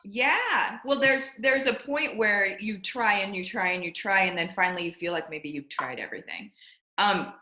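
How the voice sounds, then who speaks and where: A woman hosting a casual interview, speaking emphatically in quick bursts. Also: mid-range voice, pushed and bright. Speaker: host. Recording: echoey room.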